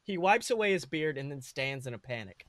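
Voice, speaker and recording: Male podcast storyteller, somewhat high pitch, dramatic comedic narration, dry close sound. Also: big pitch swings, fairly quick, a bit bright, no room sound. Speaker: podcast host and story reader.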